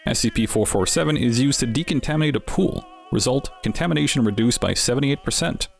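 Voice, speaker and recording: A man's voice: medium pitch, fast, gravelly. A man giving scripted narration as the host of an explainer series, with wry, dryly humorous asides.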